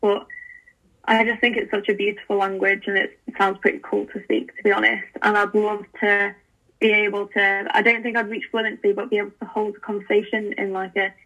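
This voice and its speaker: A woman's voice: medium pitch, level tone, a little fast, pushed and bright, breathy edge, rough recording. A young woman, a podcast guest, chatting enthusiastically with lots of fillers and restarts. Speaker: podcast guest, language learning founder. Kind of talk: casual interview chat.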